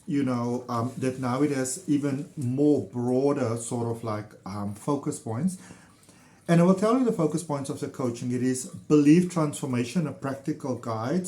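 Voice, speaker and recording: Casual talker: South African man, mid-range voice, warm enthusiasm, rambling delivery with frequent fillers. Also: mid-range voice. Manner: enthusiastic, meandering, lots of fillers. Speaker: ThetaHealing practitioner. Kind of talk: casual personal testimonial to camera.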